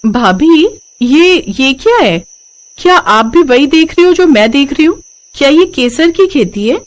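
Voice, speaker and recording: An earnest young woman from a poor family as a female storyteller plays her, with a high voice. She is tender-hearted and speaks with open emotion, in the manner of a cartoon moral tale. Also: animated, a little slow. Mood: amazed. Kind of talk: animated moral story dialogue.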